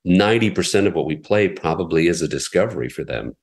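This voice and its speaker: A middle-aged man, a radio station executive, giving measured, explanatory interview answers with frequent restarts. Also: sits low, expressive. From United States. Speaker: radio station president, guest.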